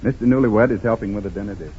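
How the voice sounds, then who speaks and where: A man announcing a 1930s radio advertisement in a scripted sales pitch. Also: rapid-fire, a little hiss or hum. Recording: tinny narrow-band 1930s radio recording.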